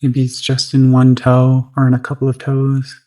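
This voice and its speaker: Meditation guide: mid-pitched, very flat delivery, gentle and soothing, unhurried with roomy gaps between phrases.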